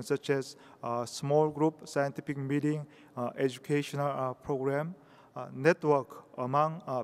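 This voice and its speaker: Halting Korean-accented man in a hall. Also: mid-range voice. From South Korea. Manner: halting, many hesitations. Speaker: conference speaker. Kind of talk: conference presentation. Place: conference hall. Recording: reverberant hall.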